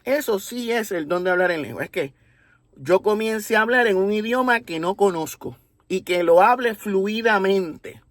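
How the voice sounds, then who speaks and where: A man from Puerto Rico with a Puerto Rican accent, explaining a religious topic in a deliberate, teacherly way, often checking in with his listeners. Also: high-pitched, animated, fairly bright tone, no echo. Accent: Puerto Rican Spanish. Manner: deliberate, teacherly, with rhetorical tags. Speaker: religious commentator. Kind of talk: explanatory monologue.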